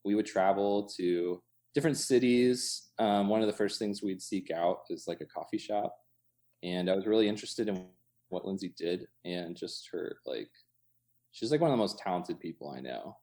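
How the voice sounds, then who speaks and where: A man talking casually as an interview guest, in an informal, halting way full of hedging asides. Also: low-pitched, dark tone. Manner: informal, halting, many hedging asides, chuckles through speech. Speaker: interview guest, coffee shop co-owner. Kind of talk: casual chat. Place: inside his cafe.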